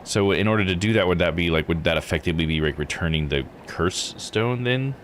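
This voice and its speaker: A man's voice: sits low, a little fast, a little hiss or hum. A man giving live video game commentary, musing and thinking aloud as he plays. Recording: music under the voice. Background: game music.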